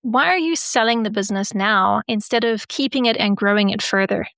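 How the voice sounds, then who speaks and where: A woman's voice: medium pitch, brisk, gravelly, fairly bright tone, no echo. Woman podcast host, dry close sound. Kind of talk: scripted intro and interview questions.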